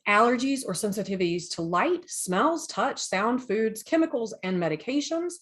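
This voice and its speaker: A woman's voice: on the high side, moderate pace. A woman from the United States hosting a podcast, chatting enthusiastically and sometimes reading from her notes. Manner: chatty, enthusiastic, lively asides. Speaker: podcast host.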